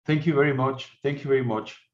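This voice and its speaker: A man's voice: medium pitch, steady tone, brisk. A man informally moderating an online meeting, polite and often repeating his phrases, heard over a video call. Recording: muffled video call audio.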